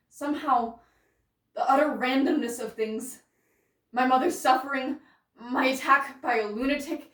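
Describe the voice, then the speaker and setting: Woman playing a grieving, embittered woman, stage monologue, deliberate and confiding, slightly high voice, strong room echo. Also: fairly bright tone, close to an everyday voice. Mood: wounded, bitter. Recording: roomy echo, distant microphone.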